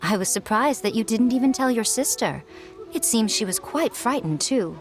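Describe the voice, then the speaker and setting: A woman's voice: fairly high pitch, animated, normal speed. An actress playing an elegant, teasing, knowing woman in a video game, poised and coy in her delivery. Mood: gently teasing. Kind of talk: video game character acting. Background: game music.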